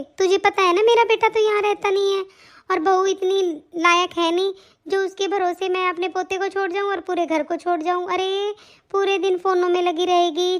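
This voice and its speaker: A woman voicing a fretful, affectionate older mother-in-law in a family cartoon, with a plaintive, homely way of talking. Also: very high-pitched. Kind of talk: Hindi family cartoon story dialogue.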